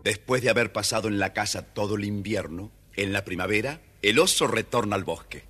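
A man's voice: lowish voice, fairly quick, sharp tone, soft background music. A man narrating a scripted children's story as the storyteller. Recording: faintly noisy old storybook record.

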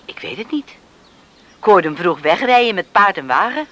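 Bright, expressive farm matriarch. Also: female voice, medium pitch, moderate pace. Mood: chatty. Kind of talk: Dutch radio play acting. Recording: noisy radio play recording.

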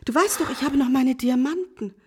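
An actress playing a gentle, devoted, self-sacrificing wife in a German radio drama, tender and consoling. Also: female voice, high voice, moderate pace, breathy edge.

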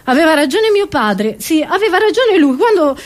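A woman performing a theatrical, satirical comedy monologue, expressive and ironic, with rhetorical asides to the audience. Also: very high voice, normal speed. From Italy.

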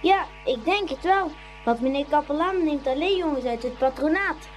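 An actor voicing a chattering young schoolboy in a Dutch radio play, lively and full of teasing banter. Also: high-pitched, normal speed, faint music behind. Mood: eager.

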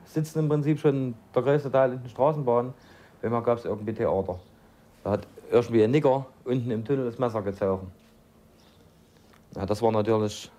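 A man's voice: medium pitch, mellow. A man giving a blunt, colloquial, matter-of-fact personal account. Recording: muffled documentary tape with background noise.

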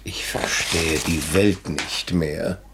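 An actor in a 1970s German radio play playing an amorous, bewildered aristocratic marquis, languid and hesitant. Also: male voice, very deep voice, fairly even intonation, takes it slowly, fairly bright tone, a little hiss or hum, heavily acted.

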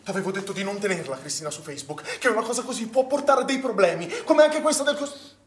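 A man's voice: high-pitched, expressive, quick pace. An actor playing a suspicious swimming instructor who probes and accuses his colleague in naturalistic stage drama. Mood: pressing, accusatory. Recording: distant, echoey, noisy stage recording.

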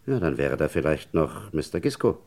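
An actor playing a courteous public prosecutor in a German crime radio play, speaking in an official, deliberate way. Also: male voice, extremely low pitch, very animated, darkish tone, exaggerated voice. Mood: cautiously helpful.

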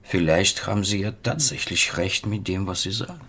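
An actor playing an unsettled, hesitant male therapy patient in a radio play, with a breathy, husky voice. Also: sits low, lively intonation, fairly bright tone, full character voice. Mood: unsettled, doubtful. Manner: hesitant, halting.

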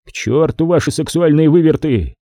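A man's voice: on the high side, moderate pace, dark tone, dry recording, clean studio sound, pitched above the performer's own voice, lightly acted. A man voicing a hot-tempered, impatient gangster in a story, with a coarse, exasperated manner. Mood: angry, impatient.

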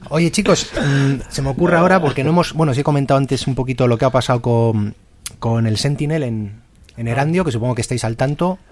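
A man hosting a radio interview, talking in a relaxed, hesitant way with lots of fillers. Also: medium pitch, fast talker, few pauses. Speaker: radio host.

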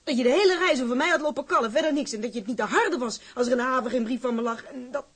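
A woman's voice: sits high, racing, a bit bright, breathy edge. An actress playing a lovestruck, outspoken young woman from a seaside town in a drama, with blunt, insistent, girlishly colloquial speech. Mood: teasing, defiant. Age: young adult. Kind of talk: dramatized dialogue acting.

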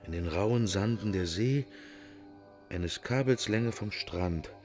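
A fatherly figure in a radio play, fairly low in pitch and pensive. He speaks slowly and haltingly, musing half to himself, with music clearly audible behind him. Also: a little mellow. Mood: murmured, solemn. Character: fatherly, pensive man keeping watch over a sleeping companion. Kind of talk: literary radio drama acting.

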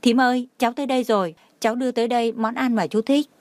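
A woman's voice: on the high side, fairly even intonation, quick pace, a little mellow, no room sound, lightly acted. A woman voicing a humble, remorseful young woman in an audiobook, pleading tearfully for forgiveness.

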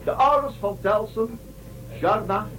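A man's voice: high-pitched, unhurried, fairly bright tone, faint music behind, rough recording. A man playing a solemn starship commander giving a formal, declamatory address in a science-fiction radio drama. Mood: solemn, resolute.

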